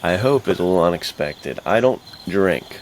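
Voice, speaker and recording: A man's voice: low voice, expressive, moderate pace. A man co-hosting a podcast, chatting casually in slangy, laid-back phrases full of dude and like. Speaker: podcast co-host. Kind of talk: casual chat. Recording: clear background noise behind the voice.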